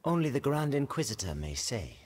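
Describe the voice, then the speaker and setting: An actor playing a grim, deferential male alchemist who speaks quietly in a game cutscene. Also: big pitch swings, fairly quick, breathy edge. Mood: subdued. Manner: low, subdued, deferential.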